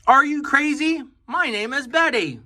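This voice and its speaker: A man's voice: very high-pitched, measured pace, bright, forceful tone, pitched above the performer's own voice, full character voice. A man voicing a big, indignant female mountain monster from a children's storybook, bossy yet helpful. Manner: indignant, emphatic.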